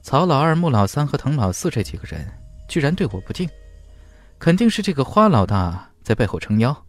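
A man's voice: medium pitch, very animated, brisk, dry recording, soft background music, voice pushed up. A man voicing a proud, confident young cultivation hero in a fantasy audiobook, declamatory and haughty. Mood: scornful. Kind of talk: fantasy audiobook character acting.

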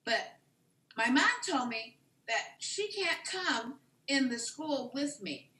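Casting: woman as earnest young child puppet, high and slow, echoey video call. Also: expressive, bright, forceful tone, full character voice. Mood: earnest, unsure. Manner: simple, deliberate child-like speech.

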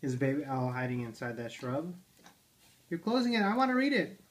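A man's voice: mid-range voice, big pitch swings, a little fast. A father reading picture books to his toddler at home, playful and coaxing, asking her questions about the pages. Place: living room armchair at home.

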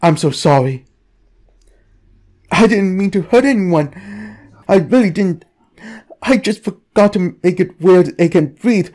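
Man playing a panicked, tearful young prankster, swooping pitch. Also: expressive, a little mellow, heavily acted. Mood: remorseful, pleading. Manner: pleading, tearful, halting. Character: panicked remorseful young internet prankster begging for help.

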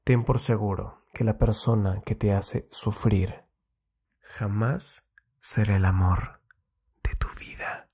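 A man giving a soft, intimate ASMR monologue in a breathy voice that often drops to a whisper, soothing and confiding, close to the mic. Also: fairly low pitch, steady tone, slow. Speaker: ASMR host giving love advice. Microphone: very close ASMR microphone.

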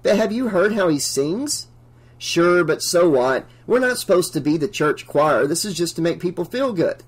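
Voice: medium pitch, lively intonation, normal speed, slightly rough, pitched above the performer's own voice. A narrator voicing a well-meaning young caroler in a storybook, casual and earnest.

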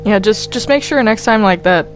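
Female gamer, mid-pitched, frank and fed up, sweary casual chat, faint music behind. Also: animated, normal speed, rough recording. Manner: exasperated, earnest, swears freely. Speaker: player in a group Minecraft roleplay.

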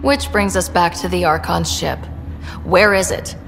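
Direct, dry young space heroine. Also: female voice, lowish voice, unhurried, vocal fry, fairly bright tone. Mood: firm, probing. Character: determined, wry young female space explorer. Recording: reverberant rooms, music behind. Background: game soundtrack music.

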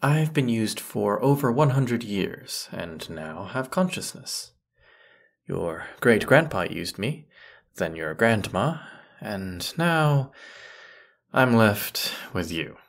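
Man acting a low, gravelly, grumpy teapot spirit. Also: sing-song, slow, heavily acted. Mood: haughty, aggrieved. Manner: scolding, sarcastic, put-upon. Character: grumpy, haughty teapot spirit over a hundred years old. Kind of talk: scripted audio roleplay addressed to the listener.

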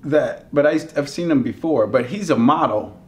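Animated man telling stories in a hotel room. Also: mid-range voice, moderate pace. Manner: animated storyteller, giddy laughter, acts out quotes. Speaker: voice actor guest. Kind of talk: casual interview chat. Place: dim hotel room, seated on couch.

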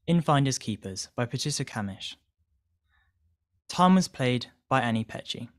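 A man works as a credits announcer. He reads from a script in a voice of average pitch. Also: lively intonation, moderate pace.

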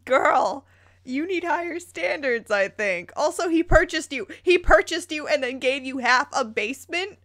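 A woman's voice: high voice, expressive, unhurried, fairly bright tone, dry recording, studio quality. A woman reading fan fiction aloud, dropping casual, incredulous, playful asides as she goes.

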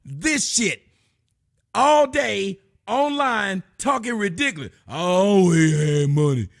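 A man, hosting a live stream from home and mocking the clips he shows in loud, preachy rants. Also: high-pitched, expressive, slow pace, gravelly. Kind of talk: ranting commentary on live streams. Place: home room with a fireplace.

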